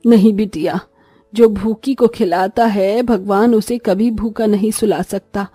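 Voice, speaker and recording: A woman's voice: medium pitch, fairly even intonation, unhurried, dark tone, husky, no room sound, studio quality. A woman voicing a kindly, motherly old village woman who speaks reassuringly in a cartoon story. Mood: gentle, reassuring.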